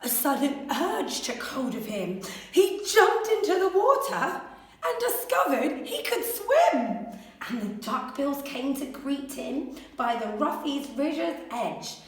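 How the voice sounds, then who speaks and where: A woman teacher reading a children's story aloud in an expressive, theatrical, deliberate way. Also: high voice. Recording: very echoey room, slightly distant mic.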